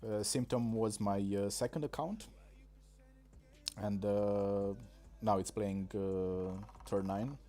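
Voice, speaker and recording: A man from Romania, streaming a mobile game in casual, halting commentary full of pauses and fillers, on a desk microphone. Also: lowish voice, steady tone, mellow.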